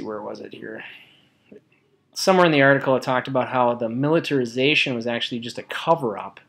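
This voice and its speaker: Man giving a casual, halting presentation. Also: mid-range voice, a bit bright. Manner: casual, colloquial, halting delivery. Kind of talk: informal slide presentation.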